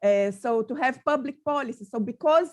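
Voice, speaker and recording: Woman lecturer from Brazil, halting reading with frequent fillers, slightly high pitch. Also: expressive. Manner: hesitant, many fillers and restarts. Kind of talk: academic talk, partly read aloud.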